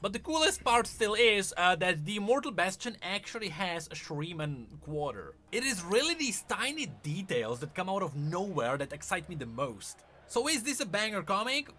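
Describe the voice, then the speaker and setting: Animated, bright-voiced man delivering emphatic commentary. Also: high-pitched, normal speed, few pauses, a little hiss or hum. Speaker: video essayist on game lore. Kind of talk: casual scripted video commentary.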